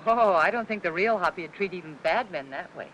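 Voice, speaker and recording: An actress playing a gentle, anxious housewife in a 1950s Hollywood film, polite and hesitant, with an American accent. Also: female voice, on the low side, lively intonation, fast talker, lo-fi sound, slight background noise. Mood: kindly. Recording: old film soundtrack with music.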